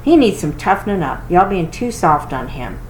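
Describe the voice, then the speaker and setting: A woman's voice: fairly low pitch, sing-song, normal speed, darkish tone. A woman voicing a stern old grandfather with an emphatic, scolding manner, in a storybook reading. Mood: gruff. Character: stern, grumbling grandfather, tough and impatient with softness. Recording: roomy echo with clear noise.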